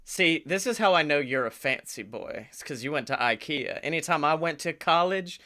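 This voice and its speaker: A man's voice: on the high side, animated, normal speed, bright, forceful tone. A man co-hosting a comedy podcast, chatting casually in a playful, teasing manner. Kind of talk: casual comic chat.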